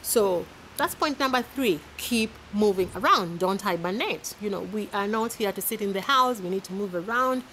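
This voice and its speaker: A woman in her thirties from Kenya with a Kenyan accent, giving casual advice to camera in a chatty, animated way, filmed outdoors in a garden. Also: medium pitch, normal speed, hardly any pauses, a little hiss or hum, low-quality recording. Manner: chatty, animated, laughs often, with repeated restarts. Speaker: vlogger. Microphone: camera at arm's length.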